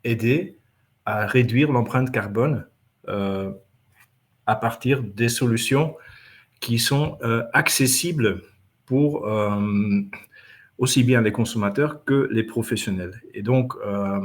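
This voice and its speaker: A man who is not a native French speaker, a company manager answering interview questions at a trade show, halting with many fillers and restarts. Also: mid-range voice. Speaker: company country manager, guest.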